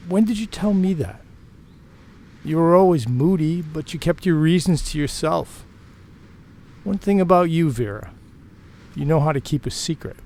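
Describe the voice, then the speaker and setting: A man's voice: a little high, darkish tone. A man playing a frank, protective married mill worker and former lover in an audio drama, talking in a plain, reassuring, conversational way.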